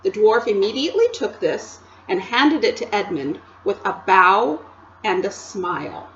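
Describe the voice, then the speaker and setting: A woman teacher reading a chapter book aloud to children, with expressive storytelling and chatty asides to her listeners. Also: mid-range voice, a little slow, muffled recording, slight background noise.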